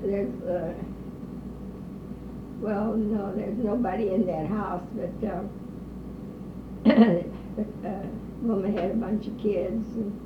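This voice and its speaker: An older woman being interviewed, slowly reminiscing about her old neighborhood with pauses, hesitations and the odd cough. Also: medium pitch. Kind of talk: oral history interview. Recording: distant roomy lo-fi recording with noise.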